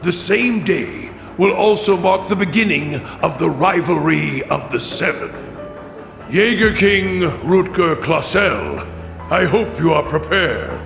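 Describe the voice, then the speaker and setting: A calculating imperial chancellor from a video game. His voice is gravelly and rather high for a man, and he delivers formal, commanding courtesies at a deliberate, slow pace. Every phrase is measured and imperious. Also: big pitch swings, fairly bright tone, full character voice. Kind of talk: dramatic video game cutscene acting. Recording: echoey hall reverb, music behind. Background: music.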